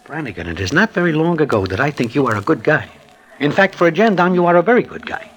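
Animated New York street-smart storyteller. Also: male voice, a little high, quick pace. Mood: indignant. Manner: formal slangy present-tense speech without contractions. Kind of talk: 1950s radio acting, scripted narration. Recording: old radio recording with music behind.

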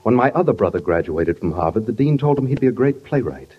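An actor playing an educated, self-pitying sound-effects man with a deadpan, aggrieved manner in 1940s radio comedy. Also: on the low side, fast, husky. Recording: muffled old radio recording, faint noise.

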